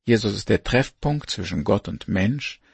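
A man reading a devotional story aloud in a measured, earnest way. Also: lowish voice, lively intonation, vocal fry. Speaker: devotional reader.

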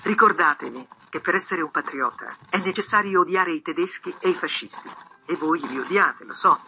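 A man's voice: fairly high pitch, a little fast, bright, forceful tone, lo-fi sound, slight background noise. A man making an urgent, exhorting appeal in an old archival radio broadcast. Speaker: wartime radio announcer.

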